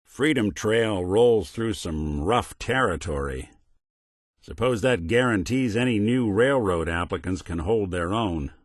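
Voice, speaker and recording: A man's voice: fairly low pitch, lively intonation, unhurried, dry recording. A man voicing a weary, world-worn but principled android detective in a video game, with dry, sardonic delivery and folksy hard-boiled noir phrasing. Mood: wry, intrigued.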